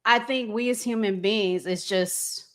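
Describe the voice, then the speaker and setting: A woman's voice: medium pitch, a little slow, a bit bright. A woman hosting a relationship talk show, speaking informally in casual conversation. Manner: informal, colloquial. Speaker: host of a relationship discussion show.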